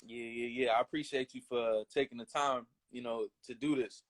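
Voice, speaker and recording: A man's voice: mid-range voice, fairly even intonation, moderate pace. A man hosting a casual interview, asking friendly questions in slangy speech with lots of "you feel me" and "you know". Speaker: interviewer and host.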